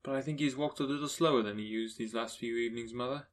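A man's voice: medium pitch, fast, lightly acted. Man doing a subdued, dutiful teenage son.

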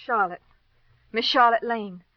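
A muffled old radio recording of a nervous woman witness. Her voice is fairly high and hesitant, flustered and defensive in a mid-century melodramatic crime-show style. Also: a little slow, no echo. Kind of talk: 1940s-50s radio drama acting.

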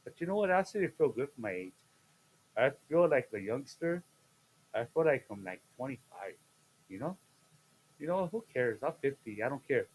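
A man in his fifties, a guest chatting casually on a podcast, playful and jokey, with sudden loud bursts and laughs mid-sentence. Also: mid-range voice, sing-song, normal speed, pauses often, breathy edge, low-quality recording. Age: 50s. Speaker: guest, host's father.

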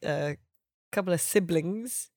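A woman's voice: fairly low pitch, slow pace, darkish tone, no echo, clean studio sound. A woman hosting a podcast in a casual, chatty style. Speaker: podcast host.

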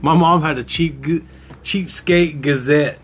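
A man's voice: fairly high pitch, unhurried, soft background music. A man co-hosting a podcast, joking and rambling in a loose casual chat, often laughing as he talks. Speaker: podcast co-host.

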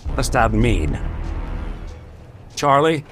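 An actor playing a gruff, loyal detective in a radio drama, speaking urgently and with emphasis. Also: male voice, mid-range voice, big pitch swings, slow, music in the background. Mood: desperate concern.

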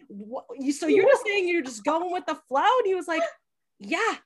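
A woman's voice: very high voice, measured pace. A young woman chatting casually in a podcast interview, telling things in a talkative way with lots of "like" and acted-out quotes. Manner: chatty, animated storytelling with frequent "like" and quoted dialogue. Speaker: podcast host being interviewed.

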